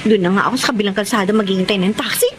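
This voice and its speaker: A woman's voice: mid-range voice, very fast, noisy background, lightly acted. A woman playing a self-sacrificing young breadwinner and older sister in a Tagalog radio drama. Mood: flustered, distressed. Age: young adult.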